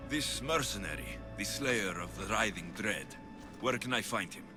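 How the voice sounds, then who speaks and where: An actor playing a calm, determined warrior hero in a video game cutscene, speaking in a steady, measured way. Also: male voice, lowish voice, over music, close to an everyday voice. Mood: resolute. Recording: game cutscene with loud score behind.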